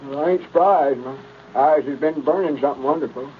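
An actor playing a slow-witted, good-natured young country fellow, simple and hesitant, with a rural Southern accent in a 1930s radio comedy. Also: male voice, a little high, close to an everyday voice. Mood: earnest, simple. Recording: tinny, noisy old radio recording.

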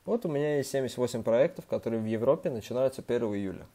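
A young man talks through a screen-recorded tutorial in a relaxed, chatty way, full of fillers and restarts. His voice is mellow and mid-pitched, and he sounds a little under the weather. He speaks into a computer mic. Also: rapid-fire. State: slightly ill, voice a bit off. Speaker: student organization member giving a tutorial.

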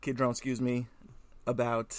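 A man's voice: medium pitch, monotone, normal speed. A man co-hosting a podcast, chatting casually and telling anecdotes. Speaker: podcast co-host.

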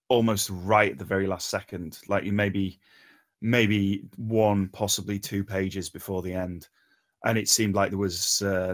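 A man giving informal spoken feedback in a hesitant, halting way with many ums, on an online call. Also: fairly low pitch. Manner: hesitant, halting, frequent long pauses and ums. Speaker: table read participant giving script feedback.

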